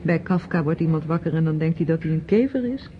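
A woman's voice: low-pitched, animated, quick pace. A woman playing a reserved, dutiful young niece in a radio drama, speaking tersely, politely and with some hesitation. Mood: polite, attentive. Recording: dull old radio recording.

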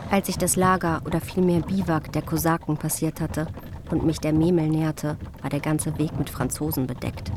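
Actress playing a low-voiced, dignified queen. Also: fairly even intonation, normal speed, background noise, natural voice. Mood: composed, formal. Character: dignified, dutiful, determined queen recounting a fateful meeting. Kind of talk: dramatized reading of historical memoirs.

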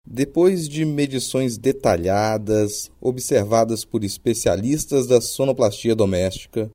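A man's voice: lowish voice, warm tone. A man with a Brazilian accent, hosting a podcast with scripted, literary narration. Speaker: podcast host.

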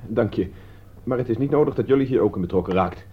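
A man's voice: lowish voice, husky. A man acting an earnest, remorseful murder suspect who insists on taking the blame in a Dutch radio play. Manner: hurried, earnest, confessing. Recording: dull, lo-fi radio play recording.

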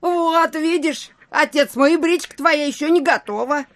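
A woman's voice: sits high, moderate pace, a bit bright. An actress playing a fussy, thrifty old country landlady in an audio play, with homely, fretful speech. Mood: wheedling, wary.

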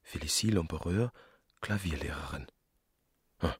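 An actor playing a pondering man reading aloud in a radio drama, his voice very hoarse and gravelly. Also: extremely low pitch, normal speed, no room sound, studio quality, heavily acted. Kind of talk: literary radio drama acting.

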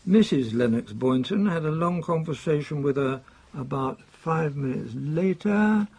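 An actor with a British accent playing an earnest, proper official in a radio drama, brisk and formal in manner. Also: male voice, on the high side, expressive, vocal fry, darkish tone, breathy edge, exaggerated voice. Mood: earnest, reporting. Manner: brisk, formal, old-fashioned British exclamations.

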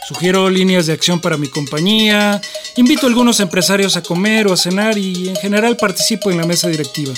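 Actor playing aggrieved husband, male, high-pitched, boastful and touchy, radio drama monologue, faint music behind. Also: fast, close to an everyday voice. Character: touchy, boastful, sociable husband who drinks.

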